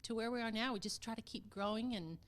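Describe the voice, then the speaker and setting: A woman's voice: on the high side, fast talker. A middle-aged woman from Texas talking as a podcast guest, telling local history in a casual, conversational way. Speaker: podcast guest, community theater vice president of production.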